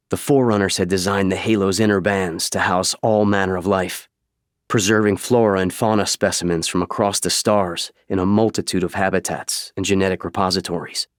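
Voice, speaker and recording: A man reading scripted audiobook narration in a measured, dramatic way, close to a studio microphone. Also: deep voice, no echo.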